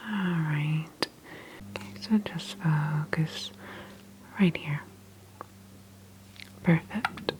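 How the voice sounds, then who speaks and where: A woman doing a soft-spoken ASMR roleplay as a calm healthcare specialist, whispering gently and attentively in a breathy, unhurried, reassuring professional way. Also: low voice, background noise.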